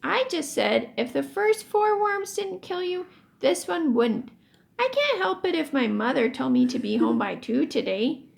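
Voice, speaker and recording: A woman's voice: high-pitched, big pitch swings, a little slow, creaky, pitched above the performer's own voice, exaggerated voice. A woman voicing a reluctant, defensive schoolboy making whiny excuses in a children's storybook. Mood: defensive, uncomfortable.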